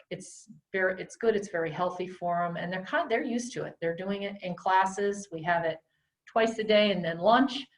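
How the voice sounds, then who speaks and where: A middle-aged woman teacher talking loudly and chattily through a face mask, walking parents around her small classroom on a handheld camera. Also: lowish voice. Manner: loud, chatty, rambling.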